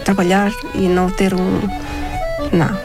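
A woman's voice: medium pitch, flat delivery, a little slow. Estonian woman, interview guest, non-native speaker, hesitant phrasing, music bed behind. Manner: searches for words, many short agreeing replies. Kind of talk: interview conversation.

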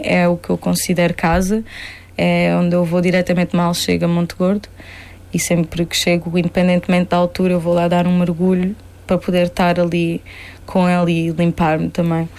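A woman's voice: on the low side, a little mellow. A young woman from Spain with an Algarve Portuguese accent, a singer-songwriter chatting casually in an interview, laughing often and trailing off to restart.

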